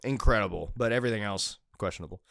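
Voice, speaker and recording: A man in his twenties co-hosting a casual entertainment news chat, excitable and often repeating words as he restarts sentences. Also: fairly low pitch, fast, gravelly, no room sound, clean studio sound. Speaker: co-host of a gaming and film news show.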